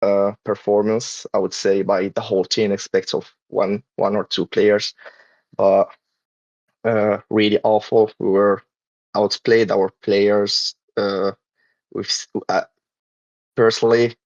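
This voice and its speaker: A man's voice: fairly low pitch, little pitch movement, takes it slowly, a little mellow, no room sound. Podcast host, a man from Morocco, hesitant and full of fillers; dull, dry, lo-fi sound. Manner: hesitant, many fillers and restarts. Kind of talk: casual podcast discussion.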